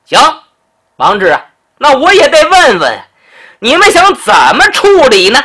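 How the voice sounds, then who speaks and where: A storyteller voicing a brash noble man, the emperor's brother, who talks loudly and bossily in drawn-out questions. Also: extremely high pitch, huge pitch swings, pitched above the performer's own voice, heavily acted. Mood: haughty, bossy. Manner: loud, bossy, drawn-out questions.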